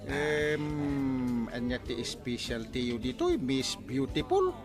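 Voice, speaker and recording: A flirty, fun-loving village captain in an Ilocano radio comedy. His pitch leaps wildly as he exclaims and stammers, full of mischief, with an Ilocano accent. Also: big pitch swings, takes it slowly, music in the background. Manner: exclamatory, stammering when flustered. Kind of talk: broad Filipino radio comedy drama acting.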